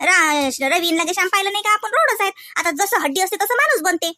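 A woman voicing a homely housewife hostess in a comedy cartoon, polite on the surface and privately sharp-tongued. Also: extremely high pitch, lively intonation, fast, no room sound, clean studio sound, exaggerated voice. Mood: catty, mocking. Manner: polite and chatty, with catty muttered asides. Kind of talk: exaggerated cartoon comedy dialogue.

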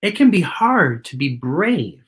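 Theatrical, bright-voiced man hosting children's storytime. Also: fairly high pitch, sing-song, a little slow. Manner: theatrical, playful, exaggerated delivery for children. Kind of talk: children's read-aloud with chatty asides. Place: living room, indoors.

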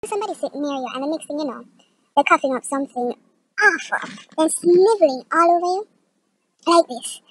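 An exasperated woman complains emphatically about other people's germs as acted by a woman for an audition monologue. Her voice is high and her delivery is theatrical, with deliberate pauses. Faint noise sits behind her. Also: animated, fairly bright tone, rough recording. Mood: disgusted complaint.

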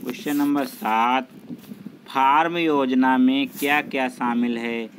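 Slow-paced man reading quiz questions, noisy recording. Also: mid-range voice, steady tone, fairly bright tone. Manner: repetitive, methodical question-and-answer delivery. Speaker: exam tutor reading questions and answers. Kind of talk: scripted reading of multiple-choice exam questions with answers.

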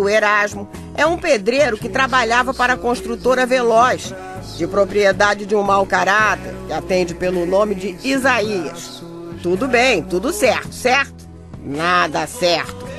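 An actress playing a chatty, exasperated bricklayer's wife who narrates a radio soap opera in a wry Brazilian voice, addressing listeners directly. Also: female voice, mid-range voice, lightly acted. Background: music under the voice.

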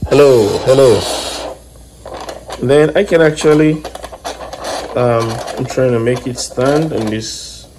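A man explaining step by step in a halting way, as host of a hands-on electronics tutorial. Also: medium pitch. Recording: clear background noise with clicks.

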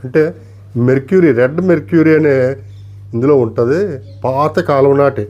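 Mellow-voiced man explaining on camera. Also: mid-range voice, dull audio. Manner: deliberate, speaks in short broken phrases with polite address. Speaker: antique radio collector and presenter. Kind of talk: unscripted demonstration to camera, explanatory.

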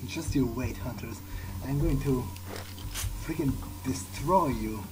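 A man narrating a gameplay video in character, thinking aloud in a halting way. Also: mid-range voice, low-quality recording. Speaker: gaming video host. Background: game music.

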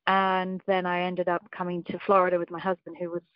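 A woman's voice: fairly low pitch, completely flat delivery, a little fast, no room sound, dull audio. A woman in her forties with an English accent, a film producer telling her story in an interview, thoughtful and full of small restarts. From Scotland, raised in England. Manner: reflective, with frequent ums and restarts. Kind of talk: conversational interview.

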